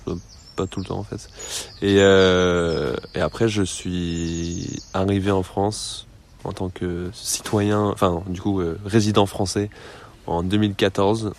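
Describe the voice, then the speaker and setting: Young man, 20s, very low voice, relaxed, rambling, hesitant, interviewee. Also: gravelly, a little hiss or hum. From United States. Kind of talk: casual conversation.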